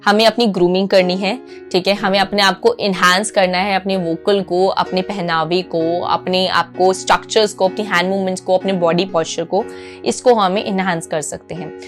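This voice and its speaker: A woman's voice: on the low side, fairly even intonation, moderate pace. A woman giving an instructional talk as an anchoring and public speaking coach, making her points emphatically phrase by phrase. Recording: music behind the voice.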